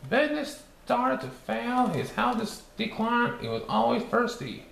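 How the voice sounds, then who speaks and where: Cheerful cartoon sponge reading a storybook, high, wildly swooping sing-song delivery with stumbles, in an echoey room. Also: male voice, very animated, unhurried, creaky, a bit bright, full character voice. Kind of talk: storybook read-aloud. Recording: echoey room with faint background noise.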